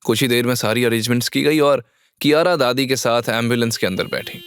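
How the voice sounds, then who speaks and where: A man's voice: mid-range voice, brisk, a bit bright, a little hiss or hum. A man narrating a scripted audio drama story with dramatic delivery.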